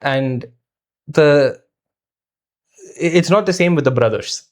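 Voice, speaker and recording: A man's voice: fairly high pitch, takes it slowly, darkish tone. A man co-hosting a conversational film review, thinking aloud in a hesitant, thoughtful way with the odd mild swear word.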